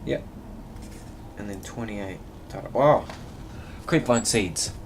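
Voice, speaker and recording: A man's voice: on the low side, takes it slowly. A man doing casual gameplay commentary, muttering to himself and reading game text aloud with occasional stumbles. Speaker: video game player. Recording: clearly noisy recording with background hum.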